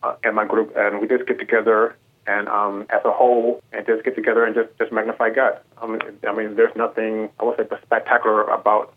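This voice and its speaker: A choir director from Puerto Rico, interviewed over the phone, talking with many ums and restarts. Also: male voice, fairly low pitch, little pitch movement, a little fast. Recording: tinny phone line.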